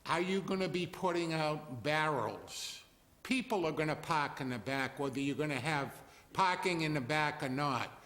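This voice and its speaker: A man who is a neighbor speaking at a town zoning hearing, talking slowly and haltingly with many fillers, in a loud voice away from the microphone. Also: fairly high pitch, expressive. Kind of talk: public comment at town meeting. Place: town hall meeting room. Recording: reverberant hall, speaker off mic.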